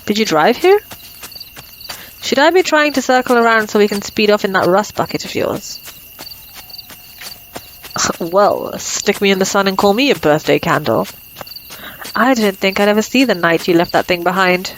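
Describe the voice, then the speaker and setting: A woman's voice: mid-range voice, moderate pace, pauses often, slight background noise, lightly acted, rough recording. A woman playing a cocky, flirtatious female vampire in an ASMR roleplay, sardonic and playful. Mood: smug, bantering. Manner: sardonic, playful, casually swearing. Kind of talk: ASMR roleplay audio drama.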